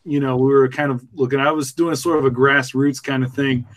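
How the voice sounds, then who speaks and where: A man speaking casually on a podcast as a producer, earnest and a little halting. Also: mid-range voice, flat delivery. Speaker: podcast producer who picks stories.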